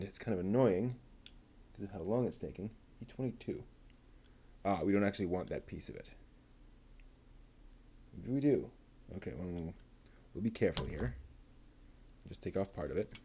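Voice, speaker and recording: A man quietly talking himself through assembling a plastic model kit, half-mumbling as he works. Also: fairly low pitch. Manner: mumbling to himself while working, unhurried. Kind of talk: muttered build commentary.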